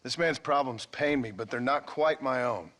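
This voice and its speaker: An actor playing a weary, sardonic cowboy outlaw in a western video game, dry and curt with a raspy voice. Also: male voice, mid-range voice, normal speed, fairly bright tone, lightly acted. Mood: dry, impatient.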